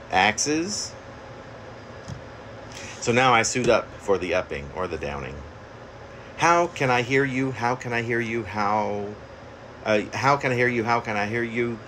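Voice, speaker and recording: A man reading his own poem aloud, haltingly, repeating phrases like an incantation, recorded on a phone in a room at home. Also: medium pitch, big pitch swings, a bit bright, slight background noise. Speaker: poet reading own work. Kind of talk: poetry reading. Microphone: phone at arm's length.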